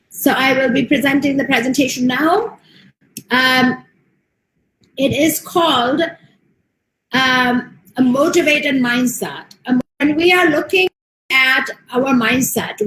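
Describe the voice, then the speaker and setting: A woman's voice: high-pitched, echoey room, rough recording. American woman doing a motivational talk, um-ing a lot, bit of hiss in the background. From United States. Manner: steady, earnest delivery with frequent um fillers. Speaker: motivational speaker. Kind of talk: online presentation.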